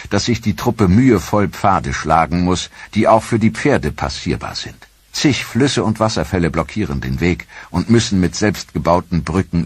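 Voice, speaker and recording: Deep-voiced male documentary narrator. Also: breathy edge, no echo. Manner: measured, even storytelling delivery.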